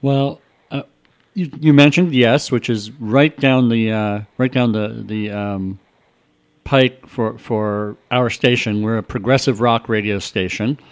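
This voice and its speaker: A man's voice: mid-range voice, steady tone, slow. A male radio host interviewing a guest, asking long, rambling questions full of restarts.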